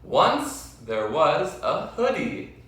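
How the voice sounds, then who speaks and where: A man reads a children's story aloud with slow, deliberate, dramatic phrasing. His bright voice rises and falls a great deal. The recording is very echoey, as if from across a room. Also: fairly high pitch, wildly swooping pitch. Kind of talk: expressive children's story reading. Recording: very echoey room, distant mic.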